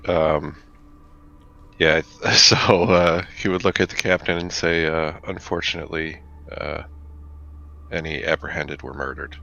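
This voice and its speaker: A man playing a tabletop roleplaying game, casually speaking for his character in a halting, hesitant way. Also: extremely low pitch, steady tone, gravelly, sharp tone. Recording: dull sound, faint noise.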